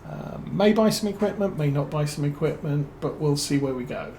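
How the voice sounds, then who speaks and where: A man with a British accent giving relaxed let's-play commentary as a streamer, musing and thinking aloud with plenty of fillers. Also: a little high, normal speed, a little hiss or hum. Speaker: game streamer.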